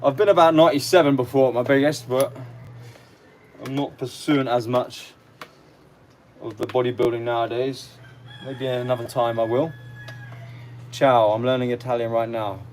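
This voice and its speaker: London-area Englishman chatting over clear noise. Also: medium pitch, steady tone, normal speed, long pauses, a little mellow. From near London, England. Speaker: livestream host. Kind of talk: casual livestream chat, reading out comments. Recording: clearly noisy live stream with ambient sound.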